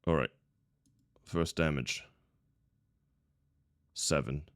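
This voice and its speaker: A man's voice: rumbling low, extremely slow, no echo, clean studio sound. A man playing a tabletop game, speaking casually in short, terse, plain turns. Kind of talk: casual, brief game talk.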